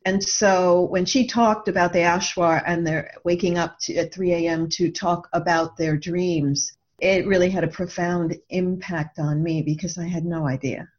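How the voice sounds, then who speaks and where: A woman's voice: lowish voice, steady tone, moderate pace, hardly any pauses, lo-fi sound. An older woman telling personal stories reflectively as a guest in an interview. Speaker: podcast guest, writer and radio drama producer.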